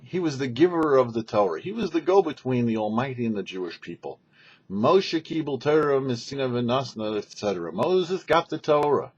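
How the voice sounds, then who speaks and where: Husky, animated man giving religious teaching. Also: mid-range voice, lo-fi sound. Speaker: rabbi and host of a weekly Torah portion show. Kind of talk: scripted religious teaching talk.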